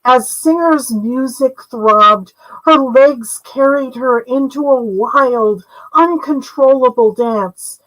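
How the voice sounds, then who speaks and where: An older woman reading a fairy tale aloud as its author, in a measured, gentle storytelling manner. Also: high-pitched, low-quality recording. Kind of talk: storybook narration read aloud.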